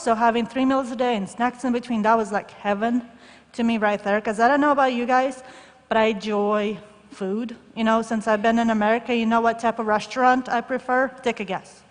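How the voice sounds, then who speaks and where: A woman's voice: fairly high pitch, steady tone, fairly quick. A young adult woman, originally from the former Soviet Union, shares her life story with a live audience. She talks to the listeners directly and candidly, with touches of humor, in a slightly raised voice. A long reverb hangs on her words, as in a large hall. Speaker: guest speaker sharing her testimony. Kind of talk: personal testimony speech to an audience.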